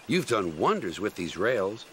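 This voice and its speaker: A man voicing a kindly, principled little engine in a children's story, polite and gently firm. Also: lowish voice, very animated, measured pace, a little hiss or hum. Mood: delighted.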